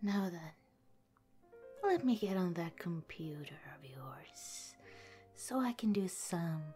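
A woman playing a gentle, teasing lamia girlfriend in an ASMR roleplay, speaking softly and breathily, often in a whisper. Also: fairly low pitch, big pitch swings, takes it slowly, soft background music. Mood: affectionate, intrigued.

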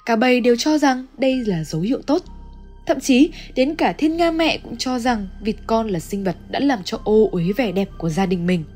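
A woman's voice: fairly high pitch, animated, moderate pace, a little mellow. A woman reads a scripted story recap as narrator. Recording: music under the voice. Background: background music.

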